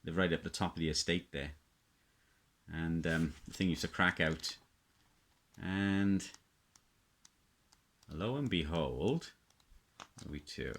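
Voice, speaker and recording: Deep-voiced South Welsh man, rambling casually. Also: takes it slowly, pauses often, fairly bright tone. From Wales. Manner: hesitant, rambling, reminiscing. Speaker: radio hobbyist presenter. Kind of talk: casual unscripted show-and-tell.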